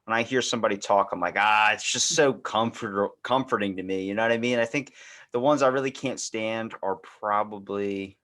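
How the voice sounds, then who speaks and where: A man's voice: fairly low pitch, moderate pace, a bit bright. An American man hosting a podcast in casual chat, rambling and acting out little quoted remarks as he goes. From United States. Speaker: podcast host.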